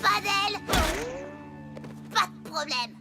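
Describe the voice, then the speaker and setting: A boastful young female cartoon pegasus with a very high voice and an unhurried, taunting delivery. She is competitive and sure of herself, with music playing clearly behind her. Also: lively intonation, exaggerated voice. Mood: defiant, cocky. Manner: cocky, taunting. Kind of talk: animated cartoon dubbing.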